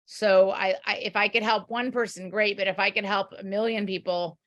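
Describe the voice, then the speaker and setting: A middle-aged woman, a doctor and author, talking as a podcast guest in an earnest, halting way. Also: medium pitch, fairly even intonation, fairly bright tone, dry recording, lo-fi sound. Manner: hesitant, many fillers and restarts, earnest. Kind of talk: casual interview chat.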